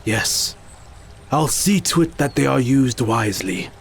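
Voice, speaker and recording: A man's voice: medium pitch, animated, unhurried, gravelly, slight background noise. A man playing a loyal, solemn village musician who speaks formally and earnestly in an audio drama.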